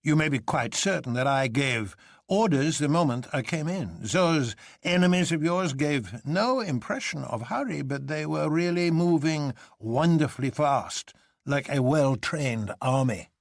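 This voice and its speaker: A man voicing a sober, courteous elderly French colonel in a measured, formal and deliberate manner. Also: a little high, expressive, gravelly, no room sound, clean studio sound, voice pushed up. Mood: urgent.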